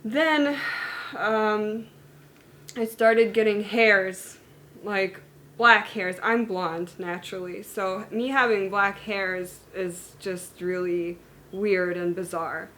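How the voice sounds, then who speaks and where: A woman's voice: mid-range voice, steady tone, slow, a bit bright, a little hiss or hum. A woman in her thirties, born in Germany and raised in the United States and Hungary, talking candidly to camera about her own story, with fillers and self-corrections. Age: 30s. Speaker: vlogger sharing her illness story. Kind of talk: personal talk to camera.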